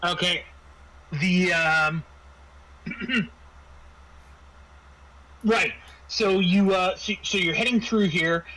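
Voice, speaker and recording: A man with a fairly high, very bright voice acts as game master for a tabletop game. He describes scenes off the cuff, haltingly, thinking aloud. There is faint noise behind him. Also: low-quality recording. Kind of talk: improvised tabletop game narration.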